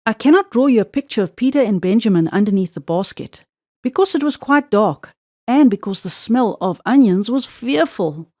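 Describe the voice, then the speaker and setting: A woman's voice: on the high side, expressive, normal speed, mellow, no echo, studio quality. A woman narrating a children's storybook expressively, drawing out words for emphasis.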